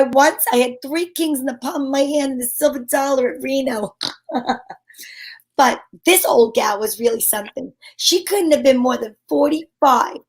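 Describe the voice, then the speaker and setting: A woman's voice: high voice, low-quality recording. A woman playing a wry, self-assured private detective who narrates her case in an amateur old-time radio mystery. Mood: sardonic. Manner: wry, sassy, slangy. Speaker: lead actress and narrator.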